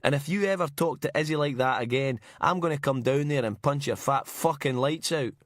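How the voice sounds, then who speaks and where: Brash Glaswegian newspaperman, played by a male narrator, pitched high, broad accent, mouthy and combative, audiobook crime story. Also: brisk, dry recording, studio quality, close to an everyday voice. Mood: defiant. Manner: sweary, indignant, mouthy. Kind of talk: audiobook character acting.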